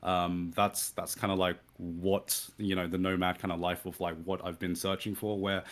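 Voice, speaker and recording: A young man with a British accent and a somewhat low voice, speaking slowly and carefully as a guest. He hesitates often, restarting his sentences as he searches for the right words. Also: flat delivery. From England. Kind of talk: casual conversation.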